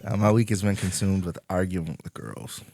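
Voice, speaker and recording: A man's voice: sits low, steady tone, moderate pace, darkish tone, no echo. A young man hosting a chatty podcast panel. He talks in a laid-back way, restarting sentences and chuckling. The sound is dry and close, with no room around it. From Indiana, US. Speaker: podcast host. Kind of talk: casual podcast chat.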